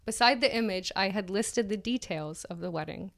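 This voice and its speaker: Woman podcast host reading an article out loud all mocking and dramatic, medium pitch, chatty asides. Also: normal speed. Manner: mocking, breaks into laughter while reading.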